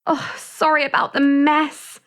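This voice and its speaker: A woman's voice: high-pitched, monotone, measured pace, a bit bright. A woman playing a cheery, easily flustered young carer in an audio drama.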